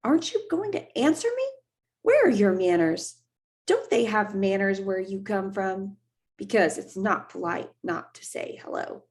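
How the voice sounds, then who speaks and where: A cocky, restless young boy needles and brags, firing off one question after another. A woman reading the storybook aloud puts him on, unhurried, with a touch of vocal fry. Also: medium pitch, very animated, darkish tone. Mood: pestering. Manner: teasing, pestering, rapid-fire questions.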